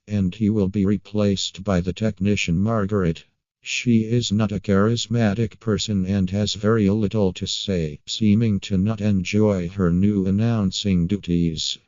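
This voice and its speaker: A man reading a scripted creepypasta narration in a measured, matter-of-fact way. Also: on the low side, a little mellow, no echo, dull audio.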